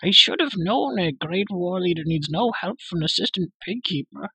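An impatient young pig keeper speaks up high and urgent, as a man reads him aloud. His tone has a tense, husky edge, quick to anger. The recording is close and dry. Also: expressive, sharp tone, dry recording, exaggerated voice, rough recording. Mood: angry. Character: anxious, hot-tempered young male pig keeper. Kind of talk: audiobook character voice.

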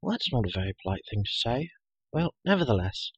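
A man voicing a brisk, self-assured, talkative young girl in a storybook, prim and matter-of-fact. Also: mid-range voice, very animated, breathy edge, dry recording, dull audio. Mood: brisk, dismissive.

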